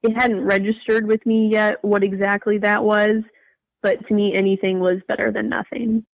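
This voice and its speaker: A woman's voice: mid-range voice, flat delivery, moderate pace, vocal fry, dry recording, dull audio. A young adult woman telling her personal story casually as an interview guest.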